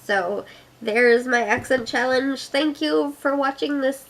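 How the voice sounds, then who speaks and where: A woman's voice: sits high, takes it slowly, poor audio. A young woman from Canada with an Ottawa accent, chatting through an accent challenge and reading her own fiction aloud in a giggly way. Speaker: vlogger.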